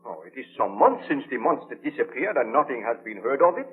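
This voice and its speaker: A muffled old radio recording carries an actor as a haunted, impassioned scientist baron. His delivery is theatrical and formal, swinging widely in pitch in the 1930s radio drama manner. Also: big pitch swings, brisk, lightly acted. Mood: ardent, hopeful.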